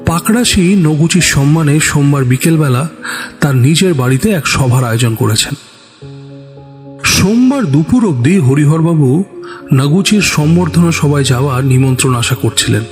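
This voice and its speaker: A man's voice: a little high, expressive, normal speed. A man narrating a scripted suspense story in a dramatic storytelling style. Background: background music.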